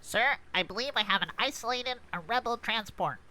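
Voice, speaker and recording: A woman's voice: fairly high pitch, animated, moderate pace, bright, forceful tone, rough recording. A woman playing a dutiful droid co-pilot in a script read, giving formal, precise status reports. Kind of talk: fan script table read, play-by-play reporting. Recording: clear background noise.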